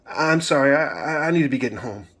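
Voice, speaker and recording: A man's voice: medium pitch, very animated, measured pace, gravelly, fairly bright tone, husky, heavily acted. A man voicing a nervous, obedient boy, hesitant and stammering, in a dramatic story.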